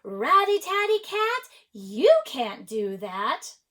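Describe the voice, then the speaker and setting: A woman voicing exasperated household people scolding loudly and emphatically in a children's picture book. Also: high voice, big pitch swings, slow, heavily acted. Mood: exasperated shouting.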